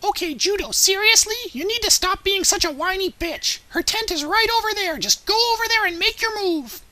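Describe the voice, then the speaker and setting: A scheming little cartoon kid, with a very high, bright voice. The child lisps and keeps up a sweet front over a bossy, foul mouth. The sound is dry and close. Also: female voice, animated, moderate pace, no echo, heavily acted. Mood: scornful. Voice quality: childish lisp. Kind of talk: comedic cartoon dub parody.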